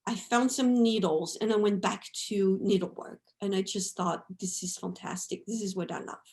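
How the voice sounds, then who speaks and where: A woman, speaking at a middling pitch as an interview guest. She talks in a thoughtful, unhurried way, looking back over her life and tagging her points with "right?" and "you know". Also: fairly even intonation, a little mellow. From France. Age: 50s. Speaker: guest artist. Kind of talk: reflective interview talk.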